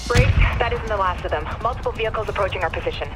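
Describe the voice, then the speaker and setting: A man's voice: high voice, very fast, loud music behind the voice, close to an everyday voice, rough recording. A man playing an alert military operative in a video game, clipped and urgent. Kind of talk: video game cutscene acting.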